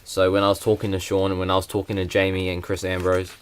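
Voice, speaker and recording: Low, flat-voiced young man. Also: fast talker, smooth. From New Zealand. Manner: plain, hesitant, many fillers. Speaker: vlogger. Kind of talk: casual unboxing vlog.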